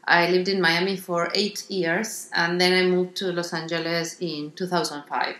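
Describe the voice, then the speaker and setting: A woman's voice: on the low side, flat delivery, moderate pace, a bit bright, echoey room. A middle-aged woman from Argentina with an Argentine accent, describing her art in a radio interview, thoughtful and hesitant with many ums and restarts. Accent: Argentine Spanish. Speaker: artist guest.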